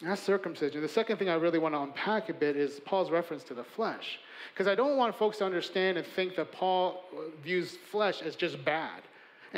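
Guy preaching, earnest, stacks up lists then goes quiet, high voice. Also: long reverb tail. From Chicago, USA. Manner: earnest, emphatic preaching with building lists and hushed endings. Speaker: pastor. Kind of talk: sermon.

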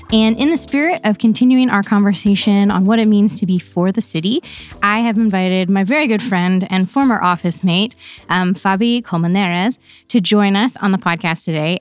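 A woman hosting a church podcast, welcoming listeners and asking friendly, upbeat questions. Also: medium pitch. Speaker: podcast host.

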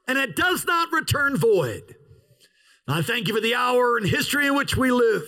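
A pastor preaching an outdoor sermon in a parking lot, with an opening prayer and emphatic, drawn-out pauses. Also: male voice, extremely high pitch, fairly bright tone.

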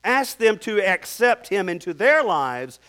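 Preacher doing a condemned guy, super joyful and excited, voice pushed up high. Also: measured pace, exaggerated voice. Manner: excited, exclamatory.

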